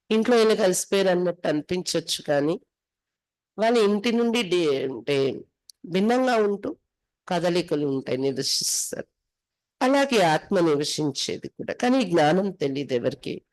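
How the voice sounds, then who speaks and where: A woman's voice: deep voice, a little mellow, low-quality recording. A woman from India, reading scripture aloud and explaining it phrase by phrase as a spiritual teacher. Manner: instructive, reads then translates and explains phrase by phrase.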